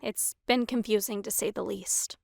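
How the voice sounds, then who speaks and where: A woman's voice: mid-range voice, steady tone, normal speed, creaky, no echo, studio quality. A woman voicing an anxious, embarrassed teenage cat girl in a comic dub, hesitant and confessional. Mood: ashamed, pleading.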